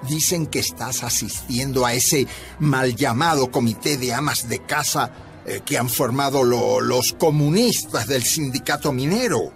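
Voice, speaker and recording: A man's voice: medium pitch, measured pace, gravelly. A man playing a stern male church elder who scolds a member in a radio drama, with music faintly underneath. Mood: accusing. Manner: stern, accusing.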